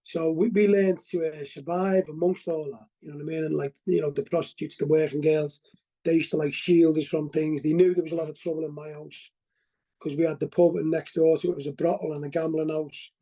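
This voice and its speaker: A man's voice: a little high, flat delivery, a little fast, warm tone, low-quality recording. A middle-aged man with a Liverpool accent giving a candid, rambling testimony at a recovery meeting over a video call, often asking "you know what I mean?" and restarting his words. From Liverpool, England.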